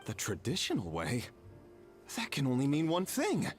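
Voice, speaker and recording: A man's voice: medium pitch, huge pitch swings, moderate pace, soft background music. An actor playing an earnest young male traveler in a game, who recounts things in a storytelling way. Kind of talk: game voice acting.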